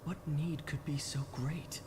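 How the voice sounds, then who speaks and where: An actor playing a brooding, guilt-ridden young knight in a video game, solemn and halting. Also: male voice, fairly high pitch, steady tone, close to an everyday voice. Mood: anguished reproach. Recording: noisy game ambience behind.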